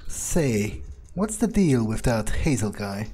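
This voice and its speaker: A man's voice: lowish voice, sing-song, slow, warm tone. A man playing a game in character, musing and muttering his thoughts aloud. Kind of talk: in-character gameplay commentary, thinking aloud. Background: faint game music.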